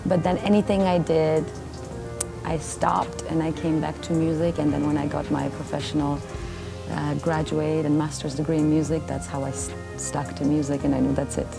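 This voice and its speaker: A woman with a fairly low voice and an Armenian accent speaks in an interview style. She is in her thirties, and music plays clearly behind her. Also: level tone, moderate pace. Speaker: cellist and vocalist. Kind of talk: interview testimonial. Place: indoor backstage area, seated.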